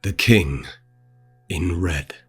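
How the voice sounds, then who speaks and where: A man's voice: sits low, drawn-out words, fairly bright tone, slightly rough, faint music behind. A man in his thirties with a theatrical, intense and playful manner, talking and reading dramatically close to a desk microphone. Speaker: host and author reading own novel. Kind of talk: theatrical chat leading into dramatic narration. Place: small dim home room. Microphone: desk condenser mic with pop filter, close up.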